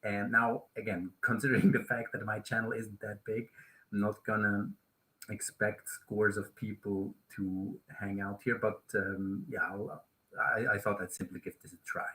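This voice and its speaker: A man's voice: lowish voice, level tone, normal speed, pushed and bright, low-quality recording. A man in his late thirties from Switzerland, with a Swiss German accent, chatting casually and a little hesitantly as the host of a live stream. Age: late 30s. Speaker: YouTube host.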